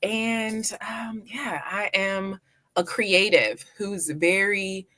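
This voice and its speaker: A middle-aged woman from Washington, D.C., answering casually as a talk-show guest in an expressive, emphatic, conversational way. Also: mid-range voice, extremely slow. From Washington, D.C., United States. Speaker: interview guest, PR agency owner.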